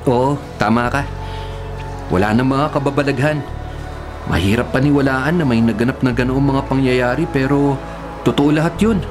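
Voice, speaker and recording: Man acting a polite, earnest younger man, mid-pitched. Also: normal speed, pauses often, natural voice. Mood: reflective. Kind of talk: Filipino radio drama acting. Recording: music under the voice.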